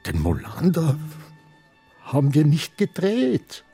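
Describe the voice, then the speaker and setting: Confused, slow-talking old man. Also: a little high, wildly swooping pitch, vocal fry, mellow, soft background music, exaggerated voice. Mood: rambling, unsettled. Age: older. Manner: halting, rambling, querulous. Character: confused, forgetful old man, former filmmaker, easily indignant. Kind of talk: radio drama acting.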